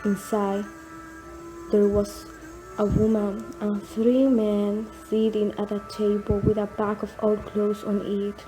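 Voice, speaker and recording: A woman's voice: mid-range voice, flat delivery, slow, dark tone, soft background music, poor audio. A woman narrating a scripted story as the narrator of an audio drama.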